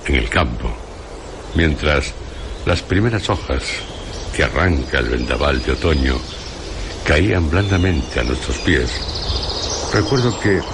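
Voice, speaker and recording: Very deep, grave narrator. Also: male voice, noisy background, exaggerated voice. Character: grave, reflective male narrator of a dark literary tale. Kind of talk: dramatised radio storytelling.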